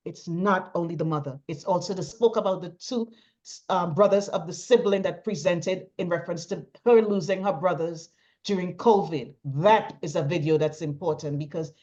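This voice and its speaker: A woman's voice: fairly low pitch, moderate pace, a little mellow, lo-fi sound. A middle-aged woman speaking as an interview guest, giving personal testimony in a talkative, emphatic way and repeating phrases for stress. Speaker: interview guest, bereaved mother.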